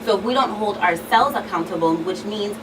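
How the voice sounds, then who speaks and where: A woman's voice: medium pitch, fairly quick. A woman speaking as a guest expert in a talk show discussion, earnest and emphatic, often repeating her phrasing for weight. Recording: distant mic, clear background noise.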